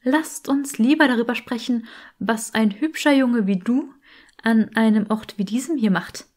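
Woman doing a chatty dead saint skull, cooing and flirty, highish voice, very theatrical storybook character. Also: measured pace, a little mellow. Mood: flirtatious. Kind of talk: audiobook character acting.

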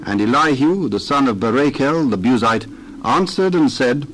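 A man narrating a dramatized scripture reading in a measured, formal storytelling manner. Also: mid-range voice, expressive, a bit bright, a little hiss or hum, poor audio.

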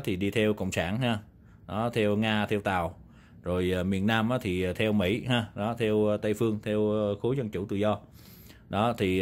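A man with a Southern Vietnamese accent giving a casual, unscripted review as a video host, explaining in a rambling, conversational way with repeated confirming tags. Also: fairly low pitch. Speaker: video host reviewing vintage audio gear. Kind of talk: casual, unscripted product talk. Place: indoor room, demonstrating equipment on a table.

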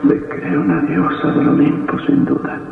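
A man's voice: a little high, expressive, moderate pace, vocal fry, slight background noise. An actor playing a frightened, grieving man in an old radio horror drama, with an agitated, dramatic delivery. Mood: scornful, impatient. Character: anguished, frightened grieving man facing Death. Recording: tinny, distant old radio recording, faint music.

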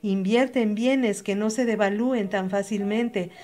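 A woman's voice: mid-range voice, fairly even intonation, unhurried, mellow. A young adult Mexican woman with a Mexican Spanish accent giving a direct, earnest motivational voice-over, speaking straight to the listener. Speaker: channel host.

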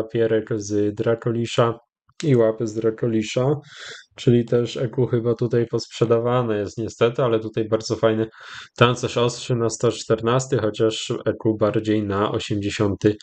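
A Polish man hosting a gaming channel, giving casual, rambling commentary with hesitant, drawn-out pauses. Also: mid-range voice, level tone, darkish tone. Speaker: YouTube gaming host. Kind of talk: casual commentary over gameplay.

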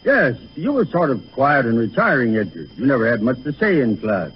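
Courteous, formal man with lively intonation. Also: medium pitch, a little fast, breathy edge. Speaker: guest academic presenting a degree. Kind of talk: scripted 1930s radio comedy. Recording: muffled 1930s radio recording, faint noise.

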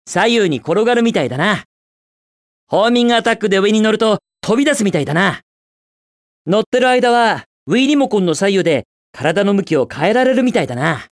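An actor playing a cocky, upbeat young hedgehog hero in a video game, with a brash, casual, self-assured delivery. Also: male voice, high voice, dry recording, studio quality. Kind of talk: Japanese video game voice clips.

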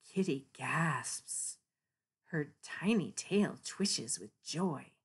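A woman narrating a children's picture book in an expressive read-aloud style. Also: low-pitched, extremely slow, husky.

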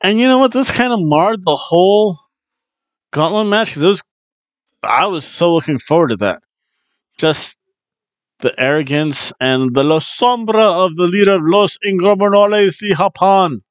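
A man's voice: high-pitched, no room sound, highs cut off. A man in his forties casually recapping wrestling matches from a small home room, opinionated and chatty, on a headset microphone. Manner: chatty and opinionated, bursts into loud mock booing. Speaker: wrestling review host. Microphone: headset mic on video webcam.

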